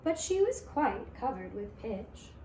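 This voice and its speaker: Casting: female children's storyteller, unhurried and expressive, slightly high voice, recorded in an echoey room. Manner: slow, deliberate, expressive storytelling. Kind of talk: scripted fairy-tale narration for children. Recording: echoey room with faint noise.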